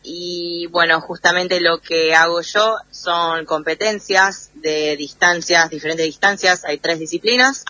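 A woman from the United States talks by phone with a radio show. She speaks slowly and explains her sport in a friendly way. Her bright voice comes through a phone line that keeps breaking up. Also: on the low side, steady tone, a little hiss or hum. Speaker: athlete guest. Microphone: phone call over messaging app.

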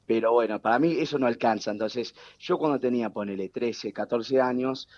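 A man's voice: medium pitch, a little fast, a little mellow, no room sound. A young man in his twenties with an Argentine accent, chatting animatedly as a guest over a remote call. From United States. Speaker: guest, TV producer. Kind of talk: casual conversation. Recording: dull, dry remote call line.

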